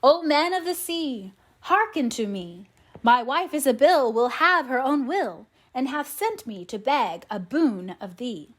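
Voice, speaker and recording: A woman's voice: high-pitched, lively intonation, a little slow. A woman voicing a henpecked, reluctant fisherman in a mournful, pleading storybook manner. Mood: chanting, pleading.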